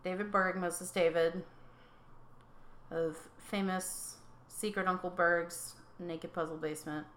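A young woman co-hosting a casual true-crime podcast, reading out facts haltingly with many ums. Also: on the low side, little pitch movement, fairly bright tone, echoey room, a little hiss or hum. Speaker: podcast co-host.

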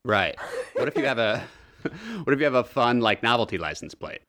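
A man co-hosting a casual podcast, laughing often and chiming in with quick replies. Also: medium pitch, big pitch swings, a bit bright. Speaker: podcast co-host.

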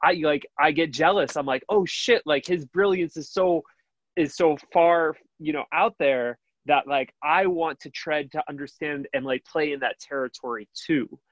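A radio host with a high, nasal voice talks in a loose, rambling way, full of fillers and restarts. His voice sounds dry and close, with a dull, lo-fi quality. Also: moderate pace, a bit bright, no room sound. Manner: rambling, many fillers and restarts, laughs easily. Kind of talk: casual interview chat.

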